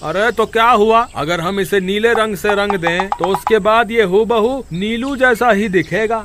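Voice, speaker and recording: A man voicing an eager, sly village crook in a moral-story cartoon, with exaggerated, drawn-out calls. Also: sits high, background noise. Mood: excited, sly.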